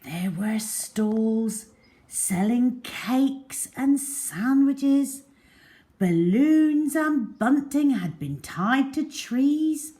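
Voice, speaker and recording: A woman narrating a storybook for young children in an expressive, theatrical, deliberate way, letting phrase endings drop to a hush. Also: on the high side.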